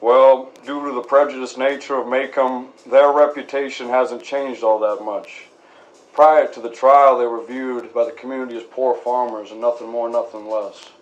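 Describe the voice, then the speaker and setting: A man playing a measured, principled lawyer and stern father in an acted radio interview, formal in his speech. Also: medium pitch, little pitch movement, dull audio, close to an everyday voice. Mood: measured, earnest. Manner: measured, formal, gently admonishing.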